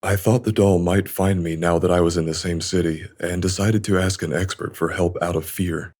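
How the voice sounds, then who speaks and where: A man voicing a remorseful, fearful loner in a visual novel dub, speaking in a measured, earnest way as he explains himself. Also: low voice, steady tone, darkish tone. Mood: resigned, explaining. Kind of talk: visual novel English fan dub, dramatic dialogue. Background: music.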